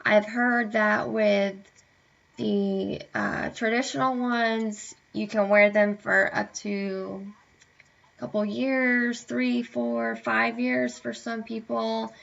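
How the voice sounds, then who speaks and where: A young woman chatting casually to camera, hesitant with many fillers, sounding a bit hoarse and tired. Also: a little high, flat delivery, slow, fairly bright tone. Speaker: vlogger. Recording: dull lo-fi webcam audio.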